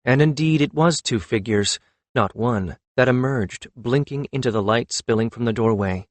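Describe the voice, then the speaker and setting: Man, audiobook narration, fantasy story, scripted reading, medium pitch, dry close sound. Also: moderate pace, no echo.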